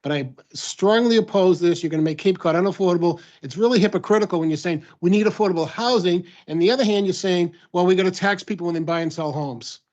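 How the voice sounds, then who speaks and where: A man's voice: high voice, fast talker, few pauses, a little mellow. A man bluntly and indignantly opposing a tax during public comment at a meeting. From Massachusetts. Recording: dull lo-fi meeting audio.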